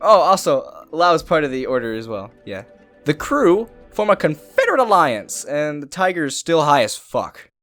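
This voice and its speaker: A young man hosts a crude, mocking review of a movie and often breaks into laughs. His fairly high voice rises and falls with a lot of life. Faint music plays underneath. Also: very animated, normal speed, a bit bright. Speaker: host. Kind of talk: comedic movie review commentary.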